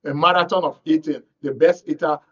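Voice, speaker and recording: A man's voice: on the high side, fairly even intonation, a little fast, fairly bright tone, distant mic, lo-fi sound. A man with a Nigerian accent hosting a live current affairs programme on radio.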